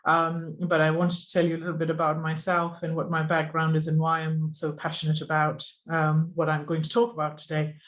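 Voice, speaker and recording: Speaker, flat intonation, halting with many ums, video call talk. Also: mid-range voice. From Pakistan. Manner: earnest, halting, with frequent ums and restarts. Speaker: software engineer giving a conference talk. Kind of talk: online presentation.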